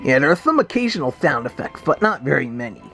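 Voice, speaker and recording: A man's voice: a little high, sing-song, fast, fairly bright tone. A man voicing a sarcastic male cartoon cat who reviews a children's read-along, talking with a slurred lisp and dry asides. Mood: wry. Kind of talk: fan review commentary in character. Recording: faint music underneath.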